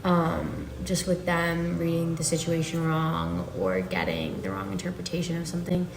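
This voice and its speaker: A woman's voice: sits low, moderate pace. A nineteen-year-old woman carefully answering personal questions. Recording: echoey room with background noise.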